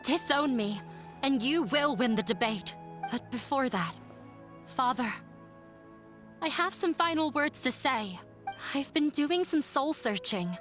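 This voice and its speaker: High-voiced, resolute young woman, music behind. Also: animated, a bit bright, exaggerated voice. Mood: earnest, resolute. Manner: earnest, measured, firm. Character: resolute young woman, wounded daughter standing up for herself. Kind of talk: dramatic video game voice acting.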